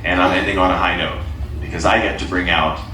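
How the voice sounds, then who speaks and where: A man's voice: sits low, level tone, fast, roomy sound, far from the mic, noisy background. A man hosting an onstage conversation, upbeat and welcoming as he brings out his guest. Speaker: host. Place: venue on a cruise ship.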